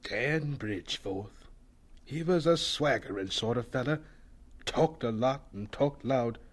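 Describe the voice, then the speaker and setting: Proud sensitive professor in a radio play, husky voice, really emotional up and down delivery. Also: male voice, medium pitch, animated, measured pace. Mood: aggrieved recollection. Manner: emotional, swinging from eager to wounded. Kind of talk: radio drama acting.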